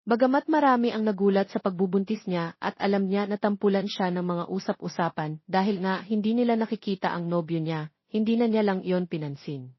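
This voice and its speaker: A woman's voice: medium pitch, fairly quick, breathy edge, no room sound, lo-fi sound. A woman reading a scripted romance story as its narrator, close to the microphone.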